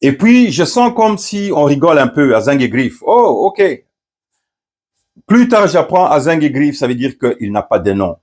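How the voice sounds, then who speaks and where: A middle-aged man from Congo, a pastor, recounting a personal story and commenting, often pausing with fillers and restarting his phrases. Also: on the high side, big pitch swings, darkish tone. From Democratic Republic of the Congo. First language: Swahili. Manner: hesitant, many fillers and restarts.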